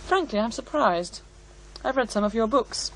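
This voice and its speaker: A woman's voice: fairly high pitch, expressive, moderate pace, vocal fry, slight background noise, rough recording. An actress playing a cool, bitter woman in a radio drama, with a disdainful, articulate delivery. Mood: scornful. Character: cool, bitter, scornful woman, sharp-tongued witness. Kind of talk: naturalistic radio drama dialogue.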